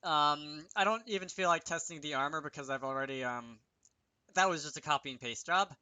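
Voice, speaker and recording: Bright-voiced man thinking aloud while coding. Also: fairly high pitch, brisk, clean tone, no room sound. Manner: self-talking, wry asides. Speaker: video creator demonstrating game programming.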